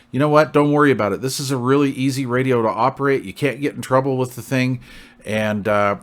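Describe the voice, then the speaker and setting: A middle-aged man giving a casual hobbyist product review in a plain-spoken, conversational and reassuring way. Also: medium pitch.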